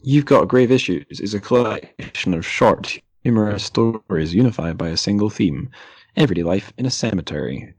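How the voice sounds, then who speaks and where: A man's voice: deep voice, breathy edge, no echo. A man hosting a podcast, talking casually in a literary interview. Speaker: podcast host.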